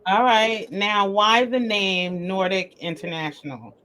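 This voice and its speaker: A woman hosts a podcast interview and greets her guest warmly, praising her freely. She talks in an enthusiastic, effusive way, with her voice at a middling pitch for a woman. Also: measured pace, background noise. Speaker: podcast host.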